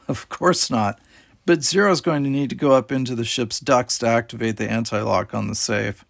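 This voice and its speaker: Man voicing a cocky, gravelly teen boy bluffer. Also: medium pitch, normal speed, a bit bright, dry recording, studio quality. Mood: casual, cocky. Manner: breezy, defiant, salesman-like. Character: cocky teenage boy alien, bluffing fast-talker. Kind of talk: audiobook character voice.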